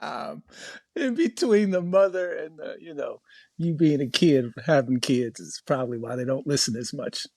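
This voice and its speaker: A man's voice: fairly high pitch, moderate pace, creaky, a little mellow, no echo. A man chatting casually as a podcast co-host. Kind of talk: casual chat.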